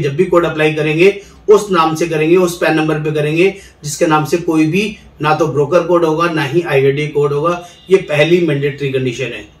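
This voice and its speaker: Middle-aged man, salesy explainer host, slightly high voice, roomy echo. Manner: persuasive, salesy, addresses viewers as friends. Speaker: insurance agent recruiter, channel host. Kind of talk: direct-to-camera promotional explainer. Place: indoor studio, seated in office chair. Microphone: lapel mic. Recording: roomy echo, somewhat distant mic.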